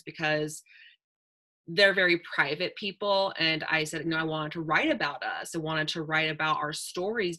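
A young woman talks on a video call panel with an earnest, reflective manner, her voice a touch low but crisp and bright. She fills her thoughts with "like" and "um" as she goes. The sound comes through a simple webcam mic. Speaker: panel speaker, writer. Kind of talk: casual panel talk. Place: indoor room with a virtual backdrop.

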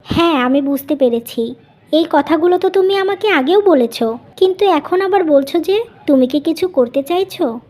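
A woman's voice: high-pitched, expressive, moderate pace, a little mellow. A woman voicing a patient, sensible elder sister and young wife in a cartoon story. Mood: attentive. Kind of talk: Bengali cartoon story voice acting.

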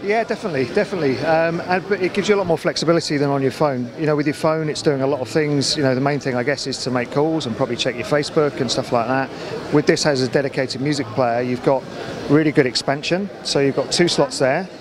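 A man's voice: a little high, fast talker. A middle-aged man explaining products informally in a trade show interview. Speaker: company account manager presenting products. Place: large indoor exhibition hall. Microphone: handheld interview microphone. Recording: busy crowd noise behind. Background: trade show crowd hubbub.

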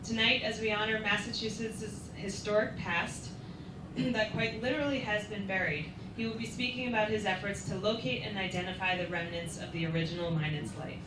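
A woman's voice: mid-range voice, fairly even intonation, brisk. A young woman hosting a lecture evening, introducing the speaker in a friendly, formal way while holding a handheld mic in a small hall. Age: young adult. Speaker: event host, visitor services supervisor. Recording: echoey hall, distant mic, noise.

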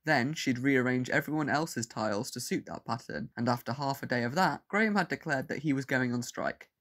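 A man with a medium-pitched voice reads scripted fiction aloud. He is the narrator of an audio story. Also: a little fast, fairly bright tone.

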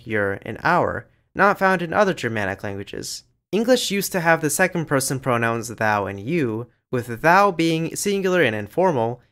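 A man giving scripted educational narration over slides, in a lively, explanatory way. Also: fairly high pitch. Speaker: language channel narrator.

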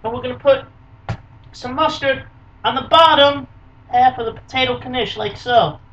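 A man, hosting a casual home cooking video in a small kitchen with energetic, hammy showman patter. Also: very high-pitched, normal speed, far from the mic. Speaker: cooking show host. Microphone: camera mic close by. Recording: dull lo-fi webcam audio from a bit off-mic.

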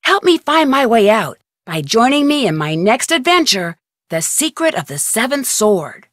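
A woman's voice: a little high, very animated, a bit bright, no echo. A plucky girl detective. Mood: wry. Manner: brisk, self-talking, wry. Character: young woman detective, curious and plucky. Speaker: game protagonist. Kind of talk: video game voice acting. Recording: dry studio voice-over.

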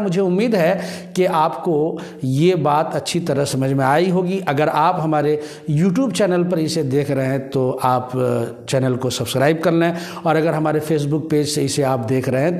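A man in his thirties with a slightly high voice gives religious guidance. He speaks formally and explains each point in a measured way, like a cleric addressing his viewers. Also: darkish tone. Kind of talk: religious advice talk to camera.

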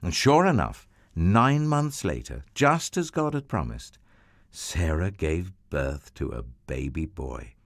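Man, deep voice, lively melody, unhurried, children's storybook narration, dry close sound. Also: no echo. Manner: slow, expressive, sweeping storytelling.

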